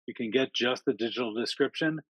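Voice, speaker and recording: A man's voice: mid-range voice, a little fast, a bit bright, breathy edge, no echo, low-quality recording. Chatty man presenting on a video call. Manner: friendly, chatty, frequent ums and uhs. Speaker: speaker at an online town hall. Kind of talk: casual presentation to an audience.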